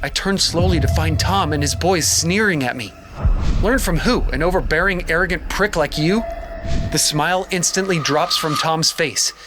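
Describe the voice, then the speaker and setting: Man as intense young hero narrator, higher-pitched. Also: moderate pace, over music, lightly acted. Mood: defiant suspense. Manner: dramatic, suspenseful. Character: young male student hero, intense, newly powerful, defiant. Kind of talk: first-person dramatic scripted narration.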